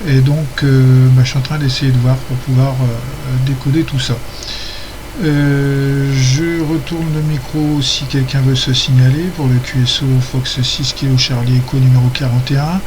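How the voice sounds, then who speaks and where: A man acts as controller of an amateur radio net. He speaks casually and haltingly at a medium pitch, trading call signs. The recording carries clear radio noise. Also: level tone.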